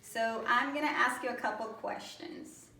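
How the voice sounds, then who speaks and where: A woman interviewer with a slightly high voice asks her questions haltingly, leaning on frequent fillers. She sounds distant from the microphone in an echoey room with faint background noise. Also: creaky, pushed and bright.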